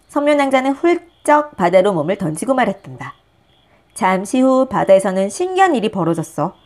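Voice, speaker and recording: A woman narrating a picture book for young listeners in an expressive, animated way. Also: a little high. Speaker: storyteller reading a picture book. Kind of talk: expressive children's storybook narration.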